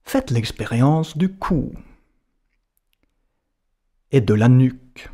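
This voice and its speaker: A man guiding a relaxation as a yoga and meditation teacher, giving measured instructions in short, separated phrases. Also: medium pitch, lively intonation, warm tone. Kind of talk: guided relaxation narration.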